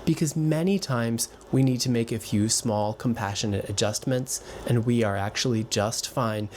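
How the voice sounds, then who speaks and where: A male voice teacher talks directly to his viewers, giving warm-hearted, encouraging advice. He speaks in a relaxed, conversational way, sometimes restarting a phrase, with his pitch sitting squarely in the middle for a man. A faint hiss sits under the recording. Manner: gentle, reassuring, encouraging. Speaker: voice and piano teacher. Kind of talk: direct-to-camera advice talk.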